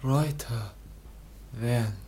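An actor playing an exhausted, dazed teenage boy who can barely get a word out, speaking in breathy, halting, whispered fragments in a radio play. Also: mid-range voice, warm tone.

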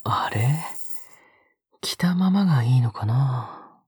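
A woman playing a soft-spoken, possessive young man in an intimate audio drama, coaxing and falsely sweet, with a breathy voice that often drops to a whisper. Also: very deep voice, lively intonation, takes it slowly, no room sound, studio quality, heavily acted.

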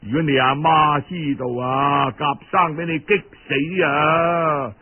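A man voicing a pompous, greedy older Cantonese uncle in a storytelling performance, full of exclamations and drawn-out interjections. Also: a little high, steady tone, phone-quality sound, voice pushed down. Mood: scolding, outraged. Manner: exclamatory, moralizing then wheedling, drawn-out interjections.

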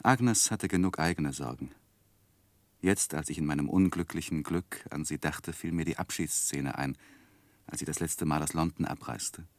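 A man acting an earnest, lovestruck young gentleman who recalls his youth in formal, gently self-mocking in a 1960s radio drama. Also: a bass voice, normal speed, no room sound. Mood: wistful, wry. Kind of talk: literary first-person narration, 1960s German radio drama.

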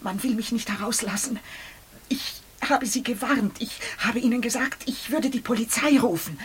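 An actress in a radio drama playing a desperate imprisoned lady who speaks in a husky, breathy, plaintive lament. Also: a little high, normal speed. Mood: bitter, resigned.

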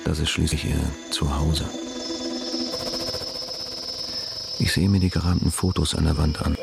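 Enigmatic stranger posing as a husband, low gravelly voice, calm, controlled, provocative, radio drama. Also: male voice. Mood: brooding.

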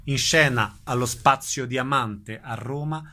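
A man's voice: medium pitch, unhurried, bright, forceful tone. Male radio host presenting a show.

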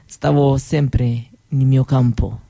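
A dreamy young Roma woman speaks at a very unhurried pace with a gravelly edge to her voice. She tells her memories wistfully and haltingly, repeating phrases softly, as in an intimate radio play. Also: rumbling low, steady tone, full character voice. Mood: fond, nostalgic. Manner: halting, wistful, with soft repetitions. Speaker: actress performing her own monologue. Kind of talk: intimate theatrical monologue for radio.

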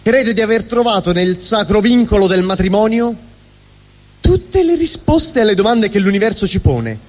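Guy on stage doing an angry vulgar middle finger, high voice, sardonic comic rant, big echoey hall. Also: expressive, normal speed. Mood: sardonic, scornful. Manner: ranting, sardonic, swooping between mutter and shout. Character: angry, vulgar, honest middle finger griping about his brothers. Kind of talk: theatrical comic monologue on stage. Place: live stage at a fundraising event.

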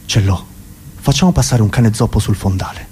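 Man doing experimental theatre director, earnest, eager, deliberating, animated intonation, cabaret sketch. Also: fairly low pitch, fairly quick, slight background noise, lightly acted.

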